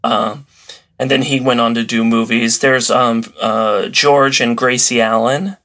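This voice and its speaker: A man talking casually as a podcast guest and enthusiast, explaining things in a halting way as he thinks aloud. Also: mid-range voice, fairly even intonation, a bit bright. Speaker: podcast guest, old-time radio enthusiast.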